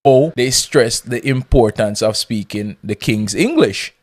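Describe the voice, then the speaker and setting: A man talks in a measured, explaining way. His voice sits at an ordinary middle pitch. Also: dry recording. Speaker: commentator in a played clip. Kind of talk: explanatory commentary.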